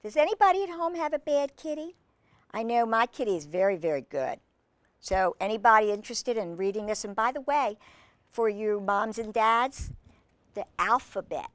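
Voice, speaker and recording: A woman hosting a children's storytime, reading aloud with drawn-out emphasis and chatting in asides to young listeners. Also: mid-range voice, no echo. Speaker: host reading picture books to children.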